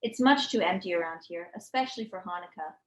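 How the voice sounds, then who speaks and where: A woman's voice: lowish voice, fast talker, natural voice. A woman voicing a kindly, patient older woman neighbour from a children's storybook, with homely, reassuring manner. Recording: echoey room.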